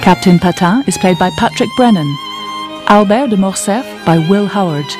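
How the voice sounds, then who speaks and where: A woman announcer reading the cast credits in a measured, formal way, with violin music underneath. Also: mid-range voice.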